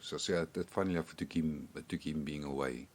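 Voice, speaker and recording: A man's voice: a bass voice, fairly even intonation, fast, darkish tone, a little hiss or hum. A man from South Africa with a South African accent, chatting casually on a podcast in a rambling way, often repeating words. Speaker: podcast guest and co-host. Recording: remote call.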